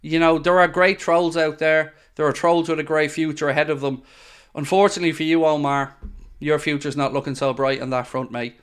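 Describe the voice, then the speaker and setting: Man, fairly high voice, exasperated, sarcastic, live football reaction, streaming mic. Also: little pitch movement, a little fast, fairly bright tone, smooth. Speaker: football watchalong host. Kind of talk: live match commentary and reaction.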